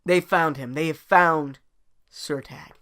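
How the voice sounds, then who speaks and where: A man improvising a dramatic adventure story as a theatrical storyteller, swinging from hushed to loud. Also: a little high, fairly even intonation, slow pace.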